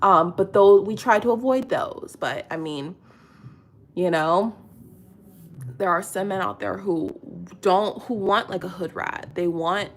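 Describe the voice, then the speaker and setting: A woman's voice: mid-range voice, unhurried, slight background noise. A middle-aged woman chatting casually and confidingly in a get-ready-with-me vlog, full of fillers and restarts. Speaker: vlogger.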